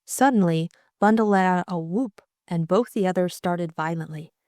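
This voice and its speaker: A woman's voice: on the low side, moderate pace, mellow, no echo, clean studio sound. A woman giving scripted narration of a classic story as an audiobook narrator.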